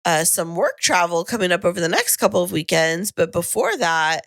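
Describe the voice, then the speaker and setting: A woman co-hosting a podcast in casual chat, her voice husky and a little raspy from a lingering cold, talking in a chatty, rambling way. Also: fairly low pitch, lively intonation, moderate pace, fairly bright tone, dry recording, studio quality. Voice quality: slightly raspy. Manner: chatty, self-deprecating, rambling. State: husky tail-end-of-cold voice. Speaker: podcast co-host. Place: home podcasting studio.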